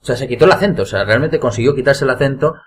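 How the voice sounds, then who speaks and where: A man's voice: mid-range voice, racing. A man with a Spanish accent from Spain, telling an anecdote in a casual group podcast chat. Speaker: podcast co-host.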